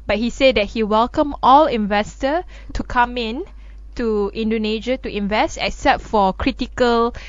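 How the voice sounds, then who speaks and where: A woman's voice: fairly high pitch, moderate pace, dry recording, muffled recording. A woman co-hosting a radio current affairs discussion. She gives firm opinions and explains with everyday analogies. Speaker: radio show co-host.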